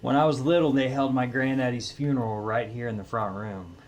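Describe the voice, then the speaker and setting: A man's voice: medium pitch, steady tone, fairly quick, natural voice. A man playing a plainspoken rural widower. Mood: guarded. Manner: folksy, plainspoken. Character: plainspoken rural widower, weary haunted homeowner. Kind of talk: audio drama character dialogue.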